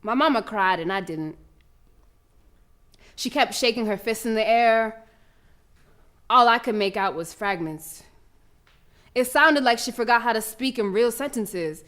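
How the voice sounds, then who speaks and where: A woman's voice: a little high, a little slow, pauses often, fairly bright tone. A woman playing a proud young schoolgirl runner in an audio drama monologue, telling her story in colloquial, emphatic speech with dramatic repetition. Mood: subdued, grieving. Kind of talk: dramatic first-person monologue, audio play.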